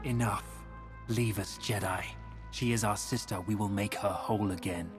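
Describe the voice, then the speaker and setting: An actor playing a stern, coldly controlling Sith zealot in a video game, commanding and formal. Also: male voice, lowish voice, steady tone, normal speed, over music, natural voice.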